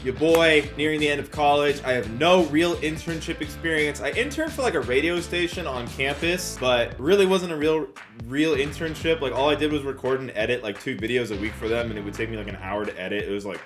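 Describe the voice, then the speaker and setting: A young man with an American accent and a slightly high voice tells a story in the manner of a casual YouTuber. His delivery is animated and informal, full of slang and self-mockery. Faint music plays behind him. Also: fairly quick. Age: young adult. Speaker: YouTuber telling a personal story.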